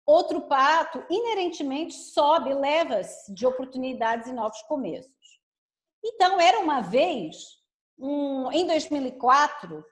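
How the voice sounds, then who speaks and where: Earnest high-voiced woman from Angola. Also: roomy sound. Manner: earnest, with many "não é?" tags. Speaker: book co-author. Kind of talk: speech at a web conference.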